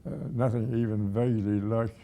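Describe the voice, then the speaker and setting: A man of about a hundred with a husky, aged voice, reminiscing haltingly as a retired museum director. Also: on the low side, flat delivery, mellow, a little hiss or hum. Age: 100. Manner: halting, with repeated words and pauses.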